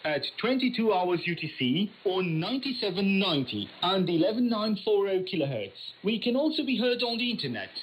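Lively-pitched woman announcer on shortwave radio. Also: fairly quick, few pauses. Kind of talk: scripted closing announcement. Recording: noisy shortwave radio reception. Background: faint radio static.

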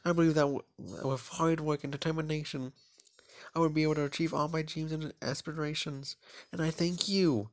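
A man's voice: on the high side, steady tone, normal speed, voice pushed up, lightly acted. A proud, prickly anime schoolgirl, acted out by a man, in a raised girlish voice. She is bossy and ambitious, the popular girl of a visual novel game. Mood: rehearsed, pageant-like.

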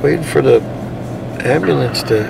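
Casting: male livestream reporter, heavy vocal fry, slangy and casual, noisy street and car ambience. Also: medium pitch, moderate pace. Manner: slangy, addressing viewers as guys. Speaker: livestream host covering police activity. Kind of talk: casual live commentary to viewers. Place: outdoors at night roadside, then in a car.

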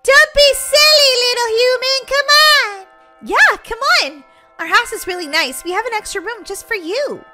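A woman's voice: very high-pitched, lively intonation, moderate pace, over loud music. A woman voicing a friendly, chatty wolf in a children's game roleplay, playfully drawing out her words. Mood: cheerful coaxing. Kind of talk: children's Roblox roleplay story.

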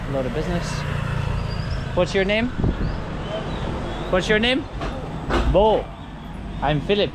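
A man's voice: high-pitched, lively intonation, takes it slowly, pauses often. A man vlogging as he walks outdoors, chatty and upbeat, greeting people as he goes. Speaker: travel vlogger. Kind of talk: casual walking vlog narration. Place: outdoors on a busy street. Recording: street traffic noise behind.

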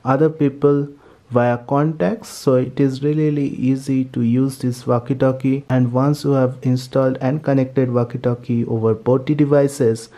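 A man's voice: mid-range voice, little pitch movement, normal speed, warm tone. A man narrating a step-by-step tech tutorial in a methodical, repetitive way.

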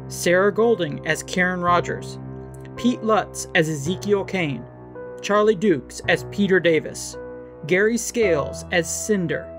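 An announcer delivers scripted podcast credits in a medium pitch. Music plays clearly behind the voice.

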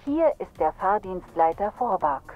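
A woman's voice from machine translation software, reading out radio messages in an even, read-out way. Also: mid-range voice, moderate pace, phone-quality sound, soft background music.

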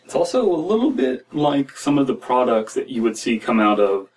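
A man's voice: medium pitch, big pitch swings, normal speed, darkish tone. A young American man hosting a podcast with casual, rambling commentary in a room at home. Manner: rambling, peppered with "like" and "you know". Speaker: podcast host commenting on stories. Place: small room at home, indoors. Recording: distant mic with room noise.

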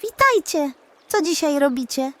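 A proud, wise male elephant from a bedtime storybook, put on by a woman. The voice is pitched up, earnest and emphatic. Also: animated, unhurried, a bit bright. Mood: greeting.

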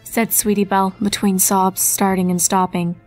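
A woman's voice: mid-range voice, level tone, normal speed, a little mellow, soft background music. A woman reading a scripted story as its narrator.

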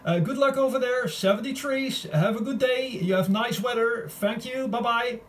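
A man working as an amateur radio operator, chatting casually in a hesitant, halting and friendly way. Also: very high voice. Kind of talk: casual radio contact chat.

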